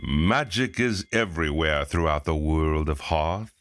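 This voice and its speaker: A man's voice: very deep voice, measured pace, a bit bright. A man narrating a fantasy audio drama in a wry, dramatic storytelling manner. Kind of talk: scripted narration.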